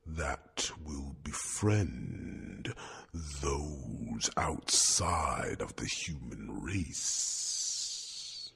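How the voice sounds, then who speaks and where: A man voicing a wise, gentle old dragon advisor in an ASMR fantasy roleplay, with a gravelly, breathy, rumbling whisper and thoughtful hums. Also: animated, full character voice. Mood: measured, reassuring. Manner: slow, deliberate, with thoughtful hums.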